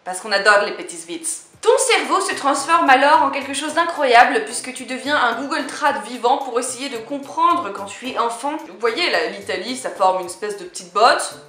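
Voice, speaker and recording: A woman's voice: sits high, animated, moderate pace, hardly any pauses, bright, forceful tone. A young woman vlogger talking casually and playfully to camera, addressing the viewer directly. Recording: echoey room.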